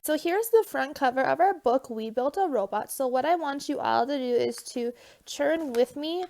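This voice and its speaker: A woman's voice: high-pitched, animated, moderate pace, vocal fry, a little mellow. A young woman teacher with a stuffy nose giving a casual remote lesson, chatty with many fillers and okay check-ins, recorded on a phone.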